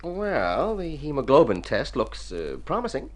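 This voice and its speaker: A man's voice: medium pitch, wildly swooping pitch, measured pace. A man playing a formal, dry, self-interested doctor in a radio drama, precise with small hesitant hems. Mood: formal, clinical. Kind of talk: mid-century radio drama acting.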